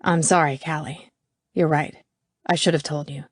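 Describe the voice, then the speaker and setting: A woman voicing a defensive, regretful young boyfriend in an audiobook, speaking low and clipped. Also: steady tone, normal speed, no echo, studio quality. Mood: tense.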